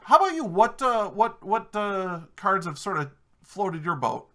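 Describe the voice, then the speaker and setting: Bright high-voiced man chatting casually. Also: expressive, a little slow. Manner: chatty, self-interrupting with many fillers. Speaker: podcast host. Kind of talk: casual gaming chat.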